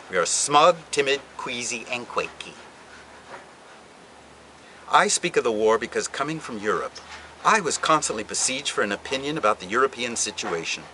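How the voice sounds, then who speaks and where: A man reading a book passage aloud, with an expressive, deliberate delivery and dramatic pauses. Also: lowish voice, a little hiss or hum, poor audio. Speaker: reader. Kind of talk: literary reading.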